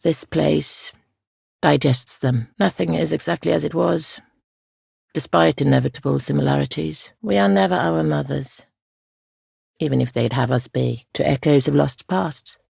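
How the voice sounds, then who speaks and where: Casting: ancient female ghost guardian for a fantasy game. Soft, heavily creaky, solemn and weary, formal diction, very close dry sound. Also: sits low, no room sound, full character voice. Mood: grave, foreboding. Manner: solemn, measured, archaic formal phrasing. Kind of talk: video game character dialogue.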